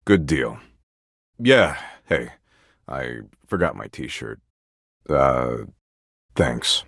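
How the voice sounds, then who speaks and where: An actor playing a gloomy, sulky, sarcastic nihilist in a video game, grumbling in a morose deadpan. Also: male voice, very deep voice, drawn-out words, creaky, no room sound, clean studio sound, heavily acted. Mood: deadpan, weary. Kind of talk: video game character acting.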